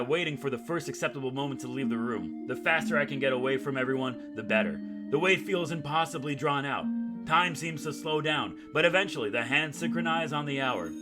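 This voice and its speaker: A male gaming host with a medium-pitched voice reads a visual novel aloud over clearly audible music. He moves between dramatic narration and casual, joking asides. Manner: jokey asides, dramatic storytelling read. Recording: music playing behind the voice.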